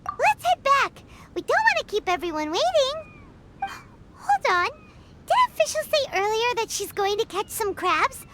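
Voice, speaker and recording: A woman voicing a tiny, excitable, childlike fairy companion in a video game, chirpy and bossy. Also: measured pace, very noisy, exaggerated voice. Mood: excited. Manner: squeaky, chirpy, exclamatory.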